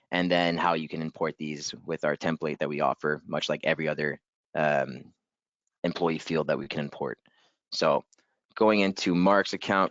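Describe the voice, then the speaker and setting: A man leading a software training webinar in a casual, upbeat way, a little hoarse and clearing his throat. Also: low-pitched, no room sound, highs cut off. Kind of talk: webinar screen-share walkthrough.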